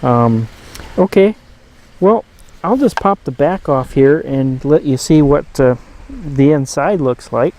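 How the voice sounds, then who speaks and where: A man giving a casual hands-on demonstration as host, rambling and thinking aloud in a halting way. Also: fairly high pitch, animated, warm tone. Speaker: host of a radio collecting channel.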